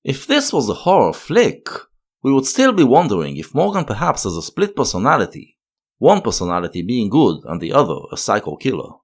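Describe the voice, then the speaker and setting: A man's voice: medium pitch, wildly swooping pitch, a little fast. A man narrating a scripted analytical video essay.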